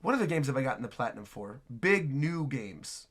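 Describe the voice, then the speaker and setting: A man hosting a casual, enthusiastic game reaction, excitable and prone to repeating phrases. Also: mid-range voice, a little fast. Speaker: reaction video host. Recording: music playing behind the voice.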